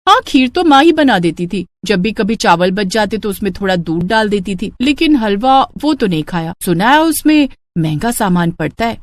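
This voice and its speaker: A woman voicing a spirited young village daughter and bride in an animated, expressive storybook style. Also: mid-range voice, normal speed, few pauses, natural voice. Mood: wistful.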